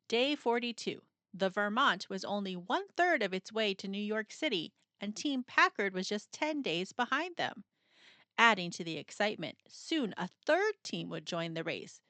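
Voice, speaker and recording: Very animated woman reading a children's story. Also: medium pitch, dry recording. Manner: dramatic, expressive storytelling with suspenseful pauses. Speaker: storybook narrator. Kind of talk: scripted children's read-aloud narration.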